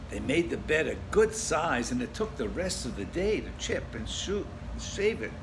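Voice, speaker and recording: A man's voice: a little high, big pitch swings, normal speed, background noise. A man reading a children's story aloud dramatically, with asides addressed to the listeners. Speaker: storybook reader.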